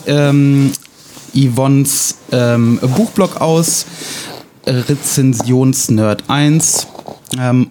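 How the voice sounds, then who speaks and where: A man with a light Russian accent, a native Russian speaker, co-hosting a casual podcast chat in a halting, self-ironic way with many fillers. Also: medium pitch, darkish tone, slight background noise. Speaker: podcast co-host.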